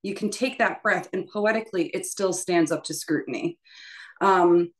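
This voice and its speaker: Woman teaching music, lowish conversational voice. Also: normal speed. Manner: explanatory, conversational, often checking in with "right?". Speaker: choral music teacher leading a voice workshop. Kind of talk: informal teaching talk.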